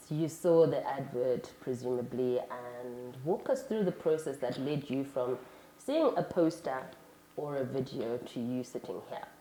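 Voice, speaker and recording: Low, dark-voiced woman interviewer in a roomy space. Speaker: talk show host, interviewer. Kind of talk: conversational interviewing.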